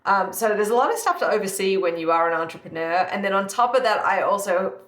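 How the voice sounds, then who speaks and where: A woman's voice: medium pitch, animated, fast talker, a bit bright. A woman with an Australian accent in her thirties, answering interview questions in a chatty, upbeat way with many fillers. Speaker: guest, live fire cook. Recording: echoey room.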